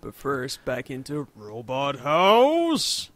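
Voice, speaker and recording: A man co-commentating on a game in a casual, joking, animated way. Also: mid-range voice, slow, no room sound. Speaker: co-commentator on a let's play.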